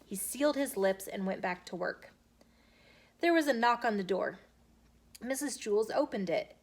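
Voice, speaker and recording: A woman storyteller reading a children's chapter book aloud in an expressive, emphatic way. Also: mid-range voice, moderate pace. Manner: animated, emphatic phrasing with acted dialogue. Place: inside a bookshop. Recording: noticeable room noise behind the voice.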